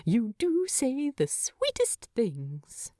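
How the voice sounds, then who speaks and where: Plucky hobbit fellow played by a woman, storybook fantasy: raised pitch, fussy, fond, cheeky. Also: huge pitch swings, drawn-out words, warm tone, no room sound, clean studio sound, heavily acted. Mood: teasing. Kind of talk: fan fiction audiobook character voice.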